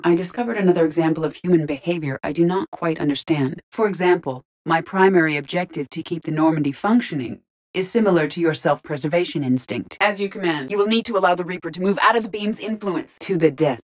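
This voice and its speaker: An actress voicing a female artificial intelligence in a video game, speaking in a measured, formal, precise and detached way. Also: fairly low pitch, a little mellow, close to an everyday voice. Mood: calm, analytical. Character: calm, analytical female artificial intelligence in an android body.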